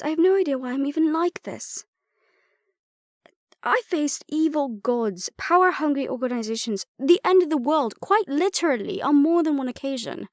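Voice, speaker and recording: A woman's voice: high-pitched, dry recording. Woman playing dry-recorded, wry lady adventurer. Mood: anxious, exasperated. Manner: self-deprecating, wry, awkwardly rambling. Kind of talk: audio roleplay acting.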